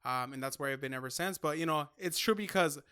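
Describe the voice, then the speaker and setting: A man's voice: fairly high pitch, quick pace, bright, forceful tone, clear, resonant tone. A man from Southern California, co-hosting a casual talk show and mixing Spanish into his English. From Southern California, United States. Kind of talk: casual chat.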